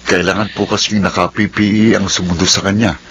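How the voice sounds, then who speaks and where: A caring family friend in a radio drama, steady in manner. His voice is fairly low, with faint noise behind it. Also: brisk. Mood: reassuring.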